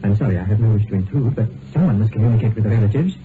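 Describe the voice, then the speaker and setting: A man acting a grave male doctor in a vintage radio play, formal and halting, with apologetic care. The sound is narrow as a phone line, with clear noise. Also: on the low side, expressive, close to an everyday voice. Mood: formal, uneasy. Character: grave, hesitant male doctor bearing bad news. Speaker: actor. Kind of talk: old-time radio drama acting. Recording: narrow, noisy old radio recording.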